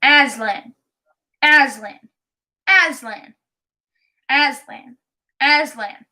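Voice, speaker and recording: A woman's voice: sits high, very slow, sharp tone, exaggerated voice. A woman voicing a timid, questioning schoolgirl in a storybook reading. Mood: puzzled, obedient.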